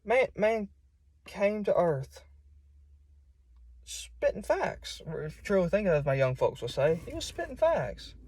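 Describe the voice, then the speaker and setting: A man's voice: high-pitched, big pitch swings, unhurried, pauses often, a little mellow, husky, dry recording, studio quality. A young man with a Southern US accent, preaching in an informal, folksy and conversational way. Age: young adult. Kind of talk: informal sermon. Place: solo self-recording.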